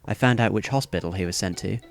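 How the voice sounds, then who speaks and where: A man playing a polite, unflappably cheerful high school boy in an anime-style audio drama, courteous and composed. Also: fast talker, vocal fry. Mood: obliging, pleasant. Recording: faint music behind the voice.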